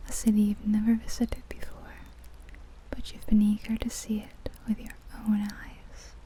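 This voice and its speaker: Woman, ASMR guided narration, breathy whisper, very mellow and dark tone, even pitch, soothing, close-miked. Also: rough recording. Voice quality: breathy, whispery soft-spoken voice. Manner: slow, gentle, soothing, with long pauses. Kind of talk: ASMR guided visualization, scripted second-person narration. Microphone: close ear-to-ear ASMR microphone.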